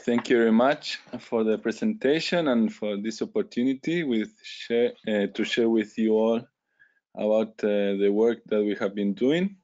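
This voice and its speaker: A man's voice: lowish voice, normal speed, a little mellow. Hesitant man presenting on a call. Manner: careful, with hesitations and self-corrections. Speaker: webinar speaker. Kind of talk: formal presentation. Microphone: video call. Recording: dull lo-fi call audio.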